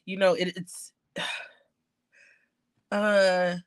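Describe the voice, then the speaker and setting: A woman's voice: on the low side, level tone, extremely slow, clear, resonant tone, no room sound. A woman with a slightly stuffed-up nose chatting candidly and casually as a podcast guest, with slang and swearing. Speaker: podcast guest, card collector. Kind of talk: casual interview chat.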